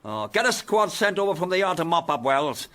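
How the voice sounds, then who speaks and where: High-voiced, brisk British police superintendent. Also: male voice, sing-song, fairly bright tone. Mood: stern. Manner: brisk, bluff, wry. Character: brisk, bluff British male police superintendent, good-humoured. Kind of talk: period detective audio drama.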